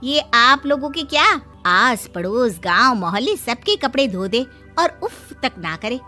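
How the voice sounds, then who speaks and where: A woman putting on the sly, bragging mother of a cartoon bride. The voice is high, but lower and softer than the performer's usual tone. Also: big pitch swings, measured pace, faint music behind, heavily acted. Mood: boastful. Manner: sly, boastful. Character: boastful middle-aged mother of the bride.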